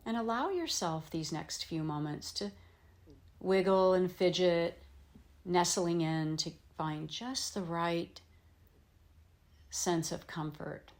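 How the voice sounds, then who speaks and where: A woman with a low voice leads a guided relaxation as a yoga nidra teacher. She speaks very slowly and gently, leaving space between short, soothing phrases. Her delivery is unhurried instruction, made for meditation. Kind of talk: guided meditation, slow instruction with long pauses.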